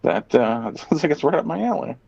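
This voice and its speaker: A man hosts a casual podcast chat with a very animated, swooping delivery. He is sardonic and opinionated and swears freely as he reads things out and reacts. The sound is dull and lo-fi. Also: fairly high pitch, fairly quick, gravelly, breathy edge. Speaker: podcast host. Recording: dull, muffled lo-fi audio.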